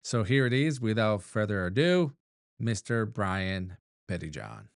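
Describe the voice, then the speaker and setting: A man's voice: fairly low pitch, very animated, a little slow. A man from Argentina, hosting a casual interview podcast in a friendly, enthusiastic way. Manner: friendly, enthusiastic, laughs easily. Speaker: podcast host.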